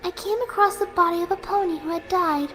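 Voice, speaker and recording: A woman voicing a gentle, soft-spoken young female pegasus pony who is serenely deranged, in a horror fan fiction reading. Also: extremely high pitch, voice pushed up. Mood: serene rapture. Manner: gentle, sweet, unhurried while menacing. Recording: music behind the voice.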